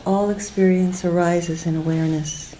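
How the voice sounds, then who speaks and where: A woman leading a guided meditation as a teacher, speaking gently and unhurriedly in short phrases with pauses between them. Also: on the low side, steady tone, slight background noise, low-quality recording.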